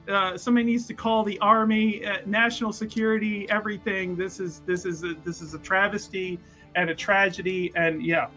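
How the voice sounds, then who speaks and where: A man with a high, bright voice plays a tabletop roleplaying game. He talks casually and jokily, narrating what his character does, with faint music underneath. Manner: animated, jokey, narrates character in third person.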